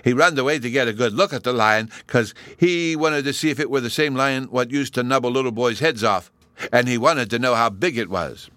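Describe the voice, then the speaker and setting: A man's voice: medium pitch, fairly quick, bright, forceful tone, no room sound, pitched above the performer's own voice, lightly acted. A man voicing an eager, insistent little boy with childish grammar, interrupting a storybook tale in an earnest way. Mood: indignant, insistent. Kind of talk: storybook character voice in audiobook reading.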